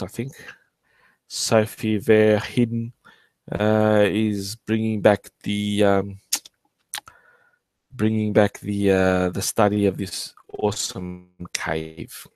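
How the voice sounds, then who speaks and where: A man's voice: lowish voice, a little mellow, smooth, dry recording. A man hosting a casual live stream about archaeology, rambling with many fillers and restarts, swearing and joking with his chat. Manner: swears freely and jokes with the chat.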